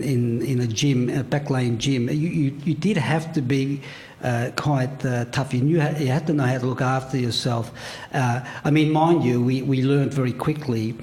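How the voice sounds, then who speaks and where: An older man from Australia talks through his memories in a halting way, full of "uh"s and repeated starts. His voice sits in a middle pitch. It is a casual panel talk, recorded at a public venue. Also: a little mellow. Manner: halting, many fillers and restarts. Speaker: panel guest, writer.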